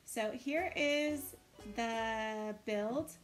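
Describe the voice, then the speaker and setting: A woman with a fairly high voice hosts a video game tour over background music. She chats casually and encourages her co-hosts as she shows things off. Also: drawn-out words. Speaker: host of a family Roblox build tour.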